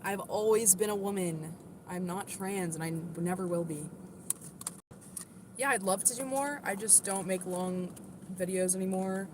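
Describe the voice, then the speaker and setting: A woman with a moderately deep voice, livestreaming and answering her viewers' comments in a chatty, defensive way, full of "like" and "literally". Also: steady tone, normal speed, noisy background.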